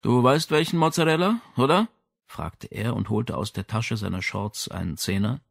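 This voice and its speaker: Man playing a calm, direct policeman, animated intonation. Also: medium pitch, moderate pace, gravelly, no echo, clean studio sound. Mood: casual. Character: calm, direct male island policeman and father. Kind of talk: audiobook character dialogue.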